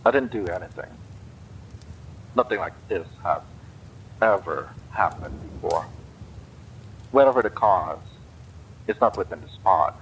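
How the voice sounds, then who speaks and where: Guy reading as a calm pod admin, deep slow voice, just states the facts. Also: slight background noise, low-quality recording. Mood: grave. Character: calm, grave pod administrator of unstated sex.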